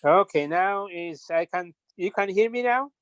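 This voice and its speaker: A man's voice: sits high, a little slow, fairly bright tone, clean tone. A man politely introducing himself on a video call, a little tentative. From Ethiopia. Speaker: meeting participant. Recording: dull video call audio.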